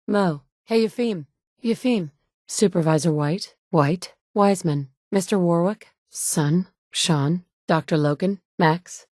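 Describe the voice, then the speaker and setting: A woman's voice: lowish voice, darkish tone, dry recording, studio quality, natural voice. An actress voicing a tough, blunt woman survivor, the player character in a game, who is curt and assertive. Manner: curt and assertive, quick to snap back. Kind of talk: game voice lines.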